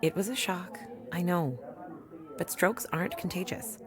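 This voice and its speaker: A woman's voice: lowish voice, animated, measured pace, a crowd in the background. A woman playing a weary, dogged rural doctor and mother in an audio drama, with a dry, blunt, wry delivery. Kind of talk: naturalistic audio drama acting.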